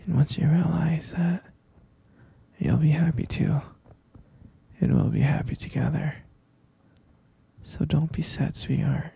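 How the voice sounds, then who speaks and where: Obsessive yandere captor played by a man, ASMR roleplay: very dark, mellow half-whisper, sweetly coaxing and possessive. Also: on the high side, heavily acted. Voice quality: breathy, fry-laden murmur. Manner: slow, coaxing, saccharine pet names. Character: obsessive, possessive male kidnapper cooing to captive lover. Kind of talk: ASMR boyfriend roleplay, intimate close whispering.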